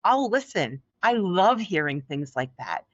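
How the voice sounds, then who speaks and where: A woman's voice: lowish voice, big pitch swings, measured pace, no room sound. A middle-aged woman with a Philadelphia accent and a soothing voice, speaking earnestly and encouragingly as a podcast guest. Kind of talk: podcast interview. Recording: dull, dry lo-fi audio.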